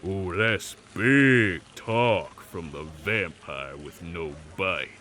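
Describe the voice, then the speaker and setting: Man as a slow, low-voiced brawler. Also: pushed and bright, full character voice. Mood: taunting menace. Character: cocky, menacing male supernatural brawler, spoiling for a fight. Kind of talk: audio roleplay drama acting.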